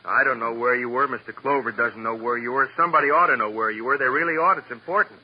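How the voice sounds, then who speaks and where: Actor as fast-talking sardonic detective, old radio. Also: male voice, mid-range voice, close to an everyday voice. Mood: needling. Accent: American. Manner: sarcastic, pressing. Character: needling, sardonic male police detective. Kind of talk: classic radio detective drama acting. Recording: dull, noisy old radio recording.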